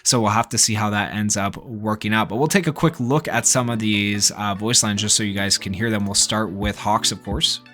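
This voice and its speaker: A man's voice: lowish voice, fairly even intonation, moderate pace, hardly any pauses, sharp tone. A man giving casual gaming news commentary as a YouTuber, talking in an informal, speculative, rambling way. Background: background music.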